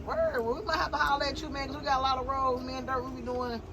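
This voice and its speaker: Animated Southern woman host in her thirties. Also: sits high, rapid-fire, a crowd in the background. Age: 30s. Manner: animated, slangy, opinionated. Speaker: interview host. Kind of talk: casual interview chat.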